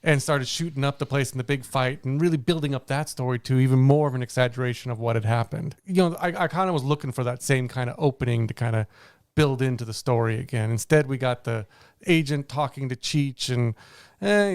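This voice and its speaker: A man's voice: mid-range voice, clean tone, no room sound, studio quality. A man co-hosting a movie review podcast in casual chat, rambling and correcting himself as he goes. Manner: rambling, self-correcting, laughs easily. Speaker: podcast co-host.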